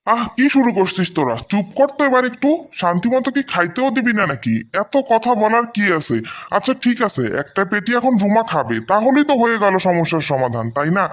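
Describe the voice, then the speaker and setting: A man's voice: high voice, sing-song, a little fast, a little hiss or hum. A man voicing a dismissive, placating elder brother in a cartoon story, chiding with a Bangladeshi accent. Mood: exasperated. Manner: chiding, brushing quarrels aside. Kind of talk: amateur cartoon story dubbing.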